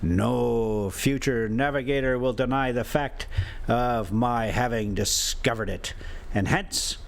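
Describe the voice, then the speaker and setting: A man's voice: mid-range voice, takes it slowly, gravelly. An American man with a folksy, informal way of talking, a vlogger who chats casually and reads stories aloud. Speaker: travel vlogger and storyteller. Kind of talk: casual rambling chat mixed with reading a classic novel aloud.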